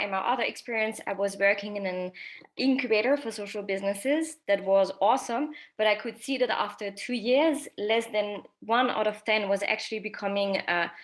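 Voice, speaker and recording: A woman with a French accent, speaking as a panelist about her work, with lively delivery and frequent restarts. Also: on the high side. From France. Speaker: panelist, social enterprise co-founder. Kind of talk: online panel talk.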